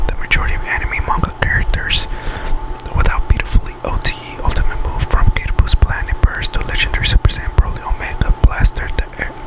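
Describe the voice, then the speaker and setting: Male ASMR host, whispered throughout, breathy and unvoiced, reading facts with halting, self-correcting delivery. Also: poor audio. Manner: halting delivery, stumbles and repeats words while reading. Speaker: ASMR video creator and host.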